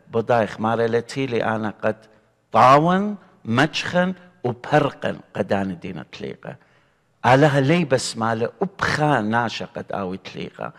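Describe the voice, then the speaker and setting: A middle-aged man with an Assyrian accent, a deacon teaching scripture in an earnest, expressive way from a desk in an indoor studio. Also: medium pitch, long reverb tail. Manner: earnest, gesturing, expressive preaching. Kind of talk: bible study teaching. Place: indoor studio before a painted church backdrop.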